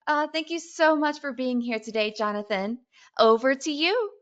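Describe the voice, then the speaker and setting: A woman's voice: sits high, lively intonation, moderate pace. A woman hosting a webinar, reading a scripted introduction. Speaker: webinar host. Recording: dull lo-fi webinar audio.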